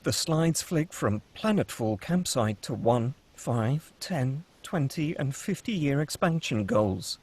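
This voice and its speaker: A man narrating the stage directions at a script table read, steady and descriptive. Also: mid-range voice, slow, few pauses, husky.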